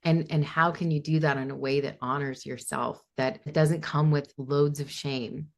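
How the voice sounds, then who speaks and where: A woman's voice: deep voice, fairly even intonation, moderate pace, gravelly. A woman therapist speaking as a podcast guest, earnest and enthusiastic, often restarting her phrases. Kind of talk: conversational interview.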